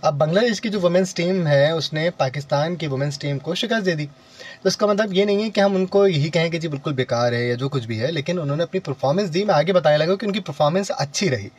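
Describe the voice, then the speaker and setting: A man's voice: on the high side, fast, slightly rough. A man with a Pakistani accent hosting a live morning call-in radio show in a chatty, courteous manner. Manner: chatty, courteous, repeats advice. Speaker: radio host.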